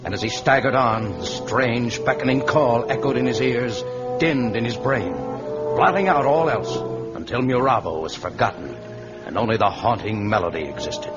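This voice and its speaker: A man's voice: medium pitch, animated, normal speed. A man narrating an old radio adventure serial as its announcer, in a dramatic scripted style. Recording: muffled vintage radio recording with music. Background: dramatic music.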